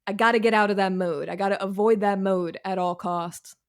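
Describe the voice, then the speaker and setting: A woman's voice: mid-range voice, fairly even intonation, fairly quick. A woman answering interview questions as a writer, candid and self-deprecating, with slangy, conversational phrasing. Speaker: guest, professional writer.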